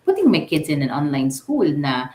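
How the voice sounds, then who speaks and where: A woman co-hosting a radio interview, chatty and animated, with a self-deprecating way of talking. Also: deep voice, normal speed. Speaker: radio co-host. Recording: roomy echo.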